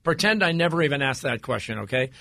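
A man's voice: a little high, lively intonation, quick pace, creaky, bright, forceful tone, dry recording. A man hosting a radio talk show in a casual interviewing style, speaking into a studio microphone. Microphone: close studio microphone.

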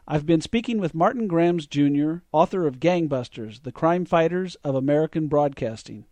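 Higher-pitched male interview host. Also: lively intonation, normal speed, warm tone. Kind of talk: podcast interview hosting.

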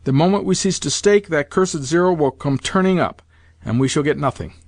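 A man's voice: on the high side, expressive, normal speed, dry recording. A man voicing an imperious, impatient old grandmother in an audiobook, full of commands and exclamations. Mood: fuming, demanding. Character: imperious, excitable old Russian grandmother addicted to roulette. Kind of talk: audiobook character voice.

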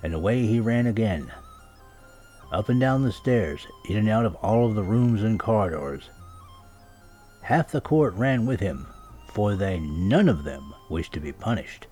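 Guy reading a kids fairytale, lowish relaxed voice, bit of music behind him. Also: a little slow. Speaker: storybook reader. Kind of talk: scripted children's story narration. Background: music and sound effects.

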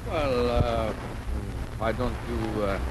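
An actor playing a soft-hearted, hesitant farmer father, mild and halting, in 1940s radio style. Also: medium pitch, steady tone, close to an everyday voice. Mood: sheepish, evasive. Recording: noisy old 1940s radio recording.

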